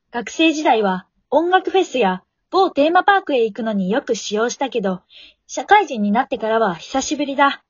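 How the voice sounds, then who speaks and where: A woman's voice: high voice, no echo. A woman playing a tired working woman who narrates her struggle to sleep in a radio drama monologue. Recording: dull lo-fi remote home recording.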